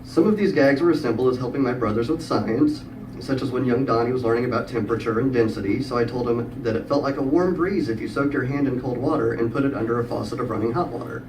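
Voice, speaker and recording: A middle-aged man tells a humorous story live, in a prepared style with wry, dry wit and comic pauses. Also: medium pitch. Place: live event room with audience. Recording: echoey room, distant mic, clear background noise.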